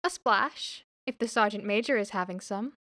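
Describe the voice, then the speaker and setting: A woman's voice: mid-range voice, moderate pace, sharp tone, natural voice. A woman playing an inquisitive, cheeky young daughter who is nearly grown, in a radio play. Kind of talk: amateur radio play acting.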